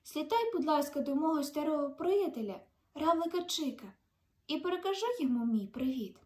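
Woman as a kindly old wizard, high-pitched. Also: expressive, fairly quick, roomy sound. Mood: grateful. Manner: warmly welcoming, emphatic. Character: kindly old male chief wizard of a fairy tale.

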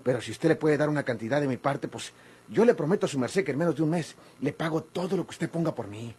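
A man's voice: on the high side, expressive, fast, darkish tone, husky. A man with a Mexican accent playing a servile, pleading prisoner in a vintage radio drama, obsequious and slippery. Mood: humble, wheedling. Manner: obsequious, pleading, stammering when agitated.

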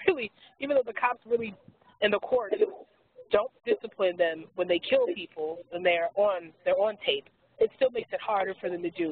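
A woman speaking as an activist on a webinar panel, hoarse from losing her voice, emphatic and earnest with frequent restarts. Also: lowish voice. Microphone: telephone handset. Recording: tinny phone line with faint hiss.

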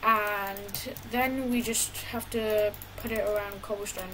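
A woman casually talking through a building tutorial over gameplay, halting often with fillers and restarts. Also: medium pitch, fairly even intonation, fairly bright tone, a little hiss or hum, rough recording. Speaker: gaming tutorial creator.

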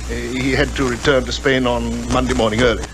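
Actor as worried upper-class British gentleman, noisy recording. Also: mid-range voice, little pitch movement, fairly quick, natural voice. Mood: earnest, concerned. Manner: formal, hesitant, reserved. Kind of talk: 1950s radio drama acting. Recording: noisy 1950s radio recording.

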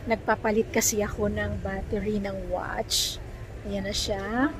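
A woman's voice: medium pitch, level tone, measured pace, background noise. A middle-aged woman with a Filipino accent, chatting casually and cheerily to a handheld camera as a vlogger. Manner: chatty, laughs easily. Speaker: family vlogger. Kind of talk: casual vlog chat, mixing Tagalog and English. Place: outdoor shopping plaza. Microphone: handheld phone camera. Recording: busy public crowd chatter behind.

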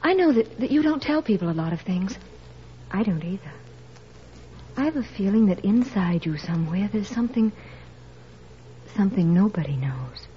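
A woman playing an earnest, adoring teenage niece in 1940s radio drama style, with halting, intense phrasing. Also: medium pitch, expressive, close to an everyday voice. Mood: intense, confiding. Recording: muffled, hissy 1940s radio recording.

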